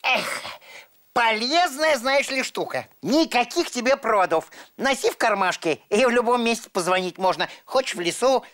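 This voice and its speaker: High-pitched boyish kitten. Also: female voice, moderate pace. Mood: chatty. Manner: boyish, naive, expressive. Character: young boy kitten, dreamy, excitable, boastful. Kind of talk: children's puppet show acting.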